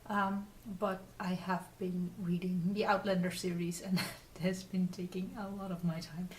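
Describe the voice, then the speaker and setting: A woman from the Netherlands with a Dutch accent, hosting a casual craft vlog in a halting, rambling way while getting over a cold. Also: lowish voice, level tone. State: recovering from a cold. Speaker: podcast host. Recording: distant mic with faint noise.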